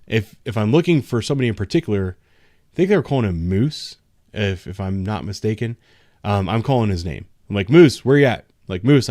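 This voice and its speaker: A man's voice: lowish voice, a little mellow, dry recording, studio quality. A man who works as a patrol officer, talking frankly and loosely in a casual livestream discussion, with many ums and restarts. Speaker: patrol officer, show host.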